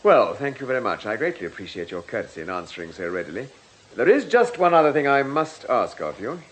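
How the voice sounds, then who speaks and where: An actor playing a courteous, probing chief inspector in a radio drama, with a measured, drily ironic way of questioning. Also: male voice, medium pitch, big pitch swings, close to an everyday voice. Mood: polite, firm. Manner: polite, measured, dry questioning.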